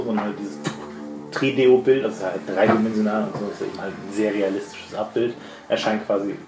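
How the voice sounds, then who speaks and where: A man narrating a tabletop role-playing game as game master, explaining things informally. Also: fairly low pitch. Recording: echoey room with music underneath. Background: music.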